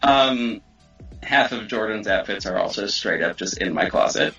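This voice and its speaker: A man's voice: on the low side, lively intonation, moderate pace, creaky, bright, forceful tone, dull audio, faint music behind. A man chatting casually as a podcast guest who makes audio drama, rambling in an informal, self-deprecating way. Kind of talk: casual chat.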